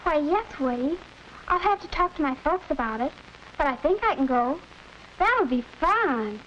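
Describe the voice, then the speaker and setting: An actress playing a cheerful, sensible teenage big sister in a 1940s educational film. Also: female voice, high-pitched, expressive, moderate pace, a bit bright. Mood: pleased. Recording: muffled, noisy 1940s film soundtrack.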